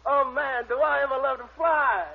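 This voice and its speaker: A man playing a giddy, drugged simpleton henchman with a high, husky voice and childlike, euphoric babbling in a comic radio drama. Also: fairly even intonation, normal speed, faint music behind. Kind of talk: comic old-time radio acting. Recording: muffled old radio recording.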